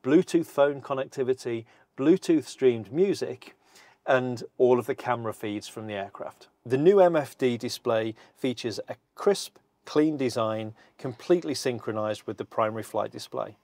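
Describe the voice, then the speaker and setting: A middle-aged man giving a scripted, measured walkthrough of a cockpit system, speaking precisely while seated in an aircraft cockpit. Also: medium pitch, darkish tone, no room sound. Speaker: company presenter.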